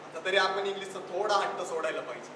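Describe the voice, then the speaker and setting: High, earnest actor's stubborn young uncle, echoing. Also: male voice, lively intonation, quick pace. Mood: insistent, argumentative. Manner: earnest, argumentative, projected. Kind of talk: stage play dialogue in Marathi. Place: indoor stage at a youth festival. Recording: echoey stage from far off, noisy.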